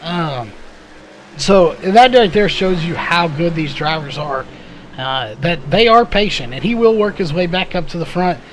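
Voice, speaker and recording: A man doing live race commentary in a conversational, analytical way, his voice a little hoarse. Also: on the high side, animated, measured pace, fairly bright tone, low-quality recording. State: slightly hoarse, losing his voice. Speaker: sim or short-track race play-by-play commentator.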